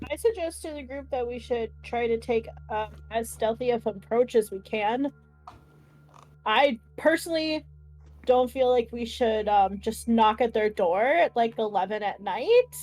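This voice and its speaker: A woman chatting casually as a player in a tabletop game, phrasing things in a measured, hesitant way. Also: a little high, expressive, darkish tone, rough recording.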